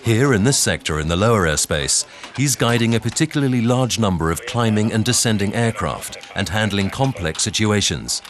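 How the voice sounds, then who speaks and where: A man reading scripted documentary narration in a measured, instructional way. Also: lowish voice, expressive, a little hiss or hum. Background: background music.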